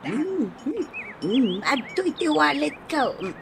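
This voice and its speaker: A trusting, gentle blind friend from a radio drama acted by a woman. Her voice is very high and lilting, with slow, drawn-out phrases. There is clear noise behind her. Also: sing-song, exaggerated voice. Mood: friendly. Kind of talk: Ilocano radio comedy drama acting.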